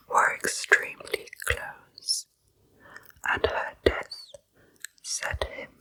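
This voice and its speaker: A woman narrating a true crime story for ASMR in a soft, slow, deliberate whisper, close to the microphone. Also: poor audio.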